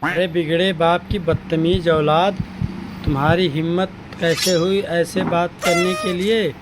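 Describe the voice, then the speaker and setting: A man's voice: on the high side, level tone, unhurried, background noise, natural voice. A man playing an exasperated young husband caught between his wife and his mother in a comic sketch. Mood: angry. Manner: scolding, exasperated. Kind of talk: comic family sketch acting. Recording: loud backing music.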